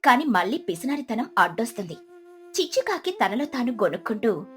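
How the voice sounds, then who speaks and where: A woman narrating a scripted children's story in an expressive storytelling manner. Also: on the high side, a little fast, soft background music.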